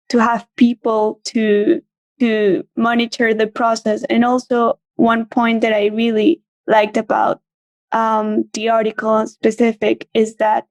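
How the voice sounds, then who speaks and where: A woman in her thirties from Venezuela and Colombia, a native Spanish speaker, answers interview questions in a measured, halting way. Her high voice stays in a narrow, even band, and the sound is close and dry. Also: dry recording. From Venezuela, raised in Colombia. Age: 30s. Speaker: guest, media strategist. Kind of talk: casual interview chat.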